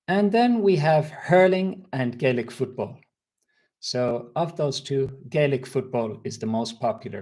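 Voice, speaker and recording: Irish guy teaching english online, talks real slow and clear, reads out chat answers. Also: mid-range voice, a little mellow. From Republic of Ireland. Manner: slow, clear teacher talk, reading out viewers' comments. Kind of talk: live webinar lesson.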